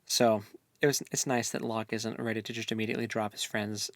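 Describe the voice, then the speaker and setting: A man's voice: lowish voice, level tone, brisk, no echo. A man hosting a podcast, discussing a book in an informal, slangy way. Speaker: podcast host.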